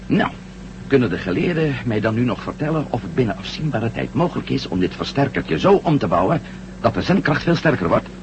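A man acting in a Dutch radio drama as a bold, quick-witted former astronaut, persuasive and decisive. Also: mid-range voice, big pitch swings. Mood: brisk, calm. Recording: muffled, noisy old radio drama recording.

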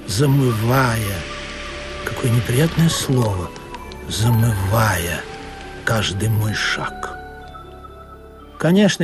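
An actor playing a wistful, questioning sailor who tells of an absurd sea voyage in a deliberate, musing radio play manner. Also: male voice, mid-range voice. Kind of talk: literary radio play narration and acting. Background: music under the voice.

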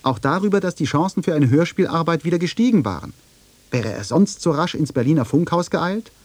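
A man delivering scripted radio feature narration and argument in a deliberate, probing manner. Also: a little high, animated, a little mellow, no room sound.

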